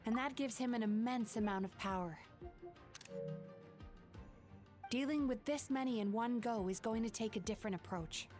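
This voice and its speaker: A woman voicing a calm, knowing young dream healer who explains things in a measured way in a video game. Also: on the high side, soft background music, close to an everyday voice. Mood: determined.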